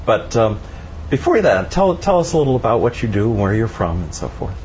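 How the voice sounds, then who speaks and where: A man's voice: lowish voice, big pitch swings, a little fast, darkish tone, dull audio, slight background noise. A man hosting a radio interview show, asking questions in an easygoing way with some fillers. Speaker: radio host.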